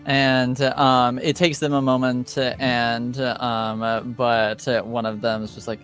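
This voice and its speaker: A man narrating as game master of a tabletop game, halting and filled with pauses. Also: mid-range voice, level tone, a bit bright, faint music behind.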